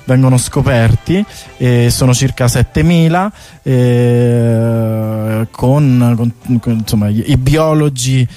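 A man with a medium-pitched voice talks as a guest on a casual radio show. He explains things informally, circling back and repeating words as he looks for the next one. Music plays faintly behind him. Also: steady tone, slow pace, mellow. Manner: informal, hesitant, rambling explanations with word repetitions. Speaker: guest artist presenting a bike tour project. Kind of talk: casual radio interview chat. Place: radio studio.

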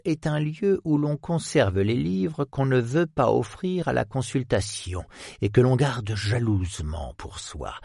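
A man's voice: medium pitch, creaky, a little mellow, no room sound, clean studio sound, exaggerated voice. A man voicing a theatrical, effusive elderly male historian who speaks with old-fashioned courtesy. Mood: conspiratorial.

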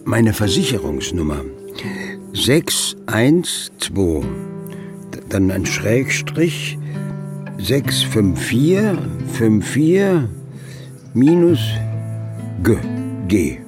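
A man's voice: lowish voice, big pitch swings, drawn-out words, pauses often, heavily acted. An actor playing an older father, tender and wry, reading out his farewell letter in a radio drama. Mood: wry, fond. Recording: music behind the voice. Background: guitar music.

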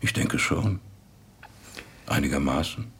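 An actor in a radio drama playing an evasive, philosophical interviewee who speaks cryptically and measuredly in a husky, gravelly voice. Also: male voice, very deep voice, lively intonation, a bit bright, heavily acted. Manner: cryptic, measured, answers with questions. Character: evasive, philosophical man interviewed about someone's death.

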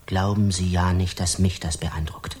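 A man's voice: low voice, flat delivery, normal speed, darkish tone, natural voice. An actor playing a harsh, scornful Parisian justice official in a German radio crime drama, curt and pompous as he interrogates. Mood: menacing, pressing.

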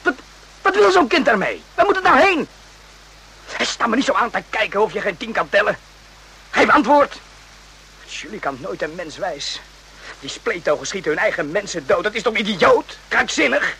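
Agitated high-voiced soldier. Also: male voice, big pitch swings, moderate pace, gravelly, long pauses, pushed and bright, husky, exaggerated voice, low-quality recording. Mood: outraged, pressing. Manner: emphatic, repeats himself, swears, fires off questions. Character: agitated, morally outraged soldier in the Vietnam War. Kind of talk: radio drama acting.

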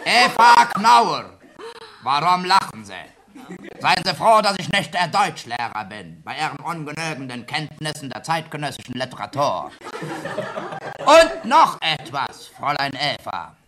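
An actor playing a schoolboy who imitates a severe, mocking old professor, pompous and scolding, in a comic radio play. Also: male voice, on the high side, very animated, a little slow, bright, forceful tone, full character voice. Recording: noisy, clicky old radio recording.

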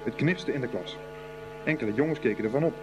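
A man's voice: medium pitch, fast talker, background noise, close to an everyday voice. A man narrating a scripted boys' school story for a radio play. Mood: storytelling.

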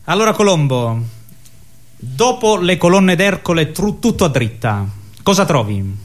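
A man's voice: sits high, big pitch swings, measured pace, faint music behind. A man performing absurdist sketch comedy in a theatrical, mock-earnest manner. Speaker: comic stage performer.

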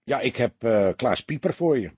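Man as an old, wry desk sergeant, husky voice. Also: mid-range voice, animated, moderate pace, darkish tone, no echo. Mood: businesslike. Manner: colloquial, teasing.

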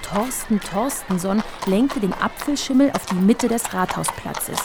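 A woman narrating a children's radio play in a lively storytelling manner. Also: mid-range voice, measured pace. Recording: crowd noise and music behind the narration.

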